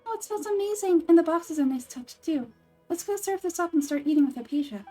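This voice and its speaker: A little fairy companion from a fantasy game played by a woman, bubbly and childlike. The voice is pitched very high, full of excitable curiosity. Faint music plays underneath. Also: fast. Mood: delighted. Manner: bubbly, talks in third person. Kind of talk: video game dialogue read-through.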